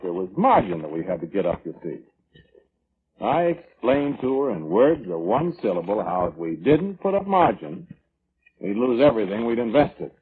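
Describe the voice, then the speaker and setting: Whining, self-justifying brother, tinny old radio. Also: male voice, sits low, a little hiss or hum. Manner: defensive, whining, evasive, halting. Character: self-justifying, weaselly brother testifying at an inquest. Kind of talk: 1940s radio drama acting.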